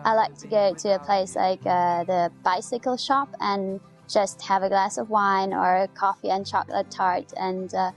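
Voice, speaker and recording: Relaxed woman in her twenties from Germany. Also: mid-range voice, normal speed, hardly any pauses, soft background music. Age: 20s. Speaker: student. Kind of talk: casual interview.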